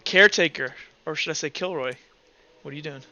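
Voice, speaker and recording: A man acting as game master in a tabletop roleplaying game, narrating and refereeing in a casual, halting way with self-corrections. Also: a little high, sharp tone, highs cut off.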